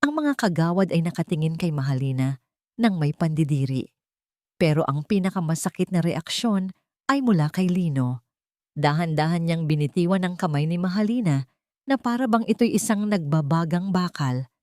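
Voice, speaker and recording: Low-pitched woman narrating a drama. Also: lively intonation, normal speed, darkish tone, dry recording, clean studio sound. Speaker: story narrator.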